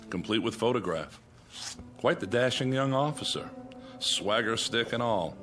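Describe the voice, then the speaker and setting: An actor playing a dutiful, open-minded major in a radio drama, speaking in a measured, probing way. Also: male voice, medium pitch, expressive, a bit bright, soft background music. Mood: measured, puzzled.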